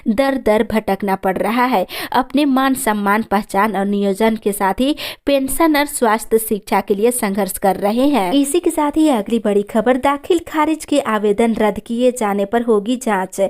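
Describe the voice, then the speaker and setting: Higher-pitched woman reading scripted news. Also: moderate pace, a little mellow. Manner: formal, announcing headlines then details. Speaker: news reader. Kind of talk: scripted news bulletin narration.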